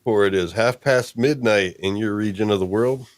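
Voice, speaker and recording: Low-pitched man hosting, playful and rambling. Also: moderate pace, no echo, clean studio sound. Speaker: show host. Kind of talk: casual improvised livestream chat.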